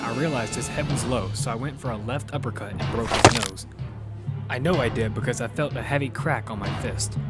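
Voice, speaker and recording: A man reads dramatic first-person action fiction in an earnest storytelling way, at an everyday male pitch. Loud music runs right behind his voice. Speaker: first-person narrator and character in an audio fiction.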